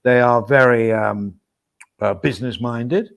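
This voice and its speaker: A man's voice: mid-range voice, measured pace, creaky, a bit bright. A man hosting a livestream, chatting casually and reading out viewer comments. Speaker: stream host. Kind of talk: casual chat.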